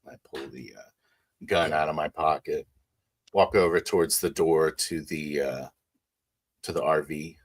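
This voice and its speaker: Man, extremely deep voice, husky, low-key halting roleplay narration. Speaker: tabletop roleplay player. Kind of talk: improvised first-person roleplay narration.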